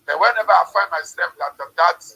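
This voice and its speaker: A man's voice: high voice, a little fast, gravelly, bright, forceful tone, husky. A man speaking at a Pan-African forum, giving a hesitant speech full of fillers, heard over a call.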